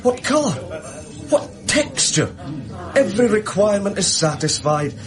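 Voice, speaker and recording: Pompous, high-pitched male official. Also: sing-song, measured pace, music in the background. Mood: effusive admiration. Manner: grandiose, declamatory, theatrical. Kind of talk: radio drama acting. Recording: crowd murmur behind the voice.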